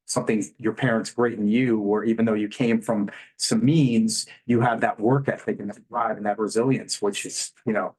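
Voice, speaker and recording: A man hosting an interview, measured and affirming, who sums up lessons for listeners. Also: fairly low pitch, steady tone, a little mellow, slightly rough, poor audio. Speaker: interview host. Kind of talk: casual interview chat.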